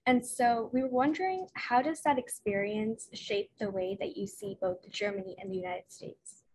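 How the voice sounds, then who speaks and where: A woman's voice: medium pitch, moderate pace, darkish tone, slight background noise, poor audio. A woman moderating a panel, politely putting a question to a guest. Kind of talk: reading audience questions.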